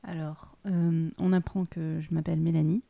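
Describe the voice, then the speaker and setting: Low, gravelly-voiced woman, playful. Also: level tone, moderate pace, mellow. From France. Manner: playful, self-mocking, laughs often. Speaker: guest. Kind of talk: casual chat.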